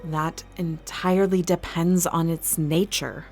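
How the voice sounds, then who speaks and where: A woman's voice: fairly low pitch, steady tone, takes it slowly, darkish tone. A woman acting as a calculating, ruthless fight-arena master in an audio drama, speaking in a formal, clipped, authoritative way. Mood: guarded, probing. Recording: music bed under the voice.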